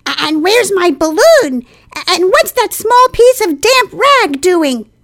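A woman voicing a small, timid, anxious young piglet from a children's storybook, thin and squeaky, with an earnest, fretful manner. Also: very animated, slow pace, fairly bright tone, exaggerated voice. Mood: puzzled. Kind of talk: children's storybook character reading.

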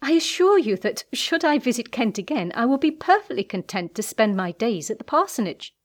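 A woman playing a spirited young gentlewoman in an audiobook, formal and emphatic in her indignation. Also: on the high side, sing-song, a little fast. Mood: polite, firm.

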